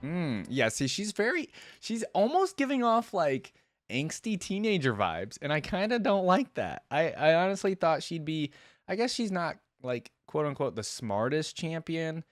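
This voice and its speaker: A man's voice: fairly high pitch, sing-song, normal speed, no echo, studio quality. A man giving casual reaction commentary as a host, rambling and thinking aloud.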